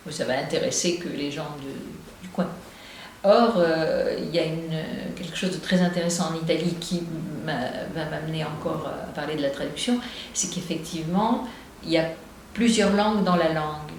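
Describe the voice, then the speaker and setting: A woman with a Marseille accent speaks in a thoughtful, halting way and restarts her sentences often. The room around her is strongly echoey, with a faint hiss underneath. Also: low-pitched. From Marseille, southern France. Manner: thoughtful, with many hesitations and restarts. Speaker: poet and translator. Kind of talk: reflective interview talk.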